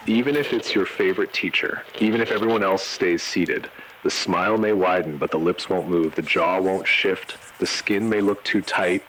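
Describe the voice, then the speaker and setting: A man voicing a grave emergency announcer in an analog horror video, giving measured, solemn warnings. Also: low voice, a bit bright, slight background noise, low-quality recording. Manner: measured, ominous, short solemn sentences. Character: grave male emergency broadcast announcer issuing eerie warnings. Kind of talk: analog horror scripted narration.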